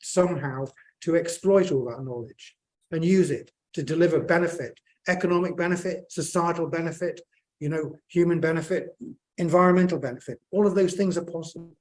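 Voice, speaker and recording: A man's voice: on the high side, fairly quick, darkish tone. A man, speaking at a panel as a plant scientist, hesitant and full of fillers and restarts. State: croaky voice. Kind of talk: unscripted panel remarks.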